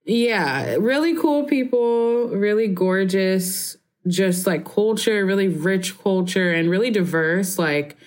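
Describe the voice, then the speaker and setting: A woman's voice: mid-range voice, slow pace, few pauses. A woman in her twenties from Barbados, chatting casually as an interview guest, rambling and filling her sentences with "like" and "um". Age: 20s. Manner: relaxed, rambling, heavy use of "like" and "um".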